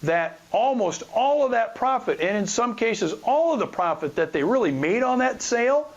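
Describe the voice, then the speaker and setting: A man with a notably high-pitched voice speaks as a podcast host giving advice. He is blunt and colloquial, leaning on repeated phrases and rhetorical questions to drive points home. The delivery is an informal, emphatic monologue aimed straight at listeners. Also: big pitch swings, normal speed, lo-fi sound. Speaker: podcast host and real estate investor.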